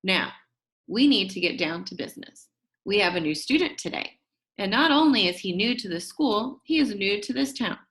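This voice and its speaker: A brisk, good-humoured substitute teacher as a woman reads him aloud addresses his class from a children's storybook. He is instructive and a little jokey, getting the pupils down to business. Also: medium pitch, animated, a bit bright, close to an everyday voice. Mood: brisk, businesslike.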